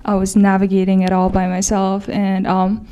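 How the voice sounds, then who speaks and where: A woman's voice: mid-range voice, monotone, normal speed, mellow, smooth. A young adult woman from Nepal, a college senior, telling her immigration story casually with frequent fillers. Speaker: college student. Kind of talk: personal testimony.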